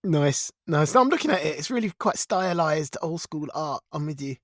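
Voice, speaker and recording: A man chatting casually as a podcast co-host, animated and often repeating short phrases. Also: fairly high pitch, moderate pace, no echo, studio quality. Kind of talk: casual chat.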